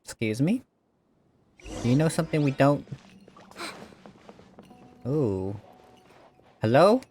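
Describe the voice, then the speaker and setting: A man's voice: medium pitch, sing-song, takes it slowly, a little mellow, a little hiss or hum. A man commenting casually on a video game as he plays, chatty and reactive. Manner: chatty, reactive, talks to the screen. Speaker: gaming streamer. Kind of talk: let's play commentary. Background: game music.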